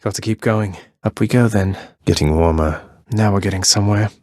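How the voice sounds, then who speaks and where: A man acts out a rebellious young prince of the underworld for a video game. His voice is low and very close and dry in sound, with clipped, wry lines full of understated swagger. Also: brisk, a little mellow, no echo, lightly acted. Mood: determined.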